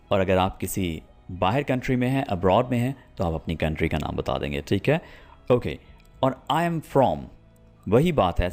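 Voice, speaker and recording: Lively low-voiced male English teacher. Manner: explains patiently, slowly repeats example sentences. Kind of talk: spoken English lesson.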